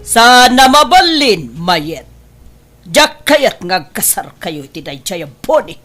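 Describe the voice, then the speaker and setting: A calculating, disapproving Ilocano mother, voiced by a woman in a family drama. She is middle-aged and speaks at a medium pitch, drawing her words out slowly. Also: sing-song, a bit bright, soft background music, heavily acted. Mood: stern, scheming. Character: scheming, wealthy mother, disapproving of daughter's boyfriend. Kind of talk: dramatized Ilocano audio story acting.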